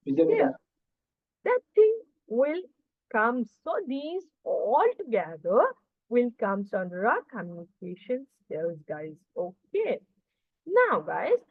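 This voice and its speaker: A woman's voice: fairly high pitch, dry recording. A woman with an Indian accent and Urdu as her first language, teaching an online class and often checking that learners follow. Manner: didactic, frequent check questions to learners. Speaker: communication skills teacher. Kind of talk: live online class lecture. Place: online video call session. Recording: muffled, narrow-band video call audio.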